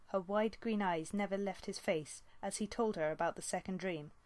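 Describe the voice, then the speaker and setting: A woman's voice: mid-range voice, normal speed, darkish tone, dry recording. A woman narrating an animal fantasy novel as an amateur audiobook reader. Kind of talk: scripted storybook narration.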